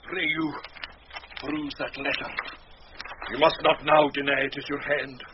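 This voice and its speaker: A man playing a high-pitched, pompous wronged steward. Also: little pitch movement, a little slow, natural voice. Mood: bitter, accusing. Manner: formal, indignant, aggrieved. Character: pompous, wronged, self-important male steward. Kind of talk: classical Shakespearean radio acting. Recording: dull, faintly noisy old radio broadcast.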